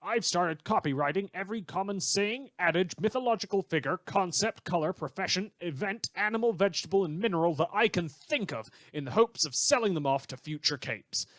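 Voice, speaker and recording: Male actor as self-important crank listener reciting his letter, earnest, formal, lightly high pitch, dry sound. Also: lively intonation, a little fast, hardly any pauses, a bit bright, no room sound. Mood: smugly earnest. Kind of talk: letter read aloud in comedic audio drama.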